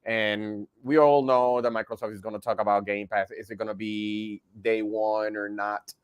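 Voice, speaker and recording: A man's voice: on the low side, level tone, moderate pace, clean tone, dry recording. A man chatting casually as a panelist on a video game podcast. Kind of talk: casual panel discussion about video games.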